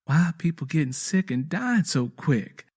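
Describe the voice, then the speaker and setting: Man voicing an anxious, high-pitched Southern wife. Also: big pitch swings, normal speed, a little mellow, breathy edge. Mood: alarmed. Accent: American Southern. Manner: pleading, earnest rural dialect.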